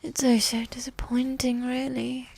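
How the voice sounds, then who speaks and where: A woman playing a possessive, condescending evil warrior queen who dotes on her spouse, speaking in soft, breathy half-whispers in a medieval ASMR roleplay. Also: high-pitched, little pitch movement, heavily acted. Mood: disappointed, reproachful. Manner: slow, regal, formal phrasing with sighs.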